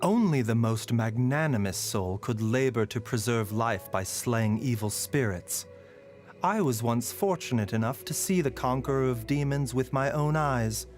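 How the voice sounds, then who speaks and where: An actor playing a polite, gently wry and weary pharmacist in a video game, with measured, mildly teasing phrasing. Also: male voice, mid-range voice, expressive, close to an everyday voice. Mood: measured, courteous. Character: soft-spoken, courteous male pharmacist, gently wry and weary. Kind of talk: video game character voicelines. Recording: voice over background music.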